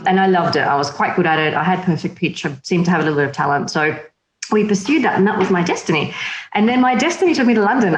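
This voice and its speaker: Lively, laughing woman in a roomy space. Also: on the low side. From Slovakia. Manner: lively and chatty, laughs often. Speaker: magazine editor, interview guest. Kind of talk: casual interview chat.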